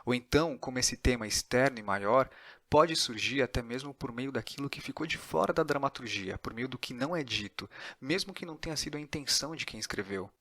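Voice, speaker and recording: A Brazilian man hosts a podcast in a medium-pitched voice. His delivery moves between introduction and conversational interviewing. The sound is dry, with little room in it. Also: animated, brisk, fairly bright tone, no echo.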